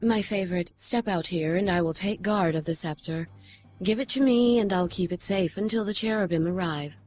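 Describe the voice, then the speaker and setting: A woman's voice: lowish voice, normal speed, darkish tone, lightly acted. A woman playing a solemn, regal immortal guardian in an audio drama, speaking in a grave, formal, stately way. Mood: solemn, commanding. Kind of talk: audio drama narration and acting.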